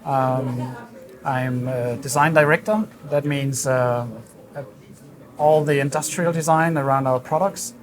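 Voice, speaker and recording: A man explaining his work as a design director in an interview, in a halting, thoughtful way. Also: medium pitch, fairly even intonation. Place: busy hi-fi trade show hall. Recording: crowd chatter around the voice. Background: crowd chatter.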